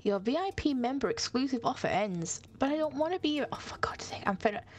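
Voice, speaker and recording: A woman chatting casually over a voice call, animated and laughing often. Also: sits high, fast talker. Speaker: co-streamer on a call. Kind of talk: casual chat.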